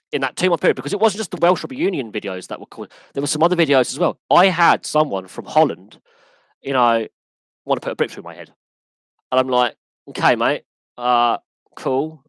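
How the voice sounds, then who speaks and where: A man with an English accent hosting a live sports podcast Q&A, talking in an animated, emphatic way that often restarts and repeats itself. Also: mid-range voice, dry recording, low-quality recording. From England. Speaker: podcast host. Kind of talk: live Q&A rant.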